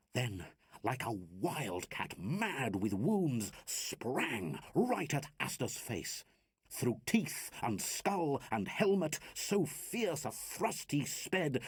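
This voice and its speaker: A man performs narrative verse with theatrical, declamatory weight. His husky voice moves at a very measured pace and swings widely in pitch. The sound is close and dry. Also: dry recording. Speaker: reader of narrative poetry. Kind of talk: dramatic recited narrative poem.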